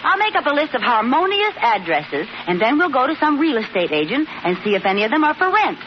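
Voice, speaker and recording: An actress playing a stubborn, scatterbrained young housewife in an old radio sitcom, with exaggerated comic delivery. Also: female voice, high-pitched, big pitch swings, fast talker. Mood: eager, insistent. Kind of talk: 1940s-50s radio sitcom acting. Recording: muffled, noisy old radio recording.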